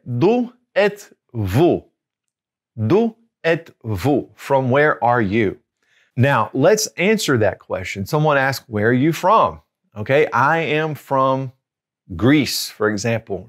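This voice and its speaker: Patient man teaching a language lesson. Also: mid-range voice. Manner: patient, repeats each word slowly and spells it out; gestures with his hands while explaining. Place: studio with a dark backdrop. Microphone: lapel mic.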